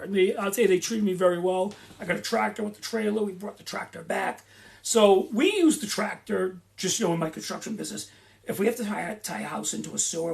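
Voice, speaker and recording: Chatty man with a gravelly voice. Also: sits high. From Rhode Island. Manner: chatty, rambling with frequent restarts and asides. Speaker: host of a live Q and A. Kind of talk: rambling casual chat.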